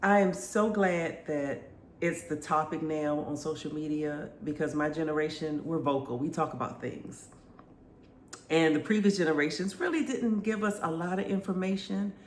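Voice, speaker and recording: A woman's voice: low voice, normal speed. Stuffy-voiced woman in her fifties. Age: 50s. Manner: chatty, laughs often, lively storytelling. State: congested, stuffy-sounding. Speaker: vlogger. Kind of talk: casual chat to camera. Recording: echoey room, faint background noise.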